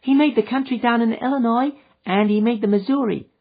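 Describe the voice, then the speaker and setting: A man voicing an innocent, thirsty little girl with a high childlike voice and a frontier dialect, in a storybook reading. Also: brisk, creaky, phone-quality sound, full character voice. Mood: eager.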